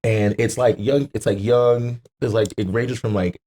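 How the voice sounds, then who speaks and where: A man's voice: on the low side, steady tone, a little slow, warm tone. A man in a casual podcast chat, slangy and emphatic, telling exasperated stories with repetition and swearing. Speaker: podcast guest.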